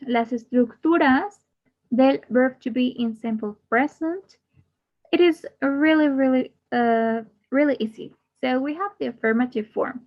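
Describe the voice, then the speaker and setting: A woman teaching an English grammar class, repeating each example and then translating it. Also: sits high. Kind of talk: bilingual grammar lesson. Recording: muffled lo-fi audio.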